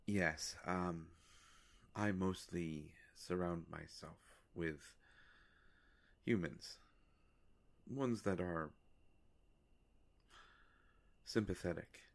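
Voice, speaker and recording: A man playing a courteous, melancholy vampire in a roleplay audio drama, speaking formally and hesitantly with long pauses and a gravelly voice. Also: exaggerated voice. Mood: wistful, earnest. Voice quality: gravelly, creaky low voice. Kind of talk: scripted roleplay audio drama addressed to the listener.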